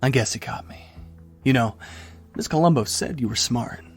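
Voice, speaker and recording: A man's voice: mid-range voice, normal speed, soft background music, lightly acted. A man playing a young rookie cop with a sly double side in a noir audio drama. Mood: smug, sly. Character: young male rookie cop, seemingly eager, secretly a sly informant.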